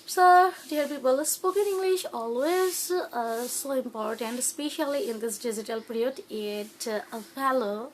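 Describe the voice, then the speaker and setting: A young Bangladeshi woman, a native Bengali speaker, hosting her own channel and talking to viewers in halting English full of "uh" fillers and restarts. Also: sits high, a little hiss or hum, rough recording. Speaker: YouTube channel host. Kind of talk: casual talk to camera on learning English.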